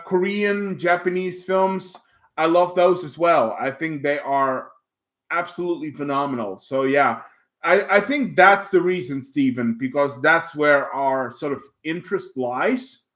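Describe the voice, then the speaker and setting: Man, Dutch accent, livestream host, slow and hesitant, many fillers, slightly high voice. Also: a bit bright. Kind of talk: casual livestream chat, reading viewer comments.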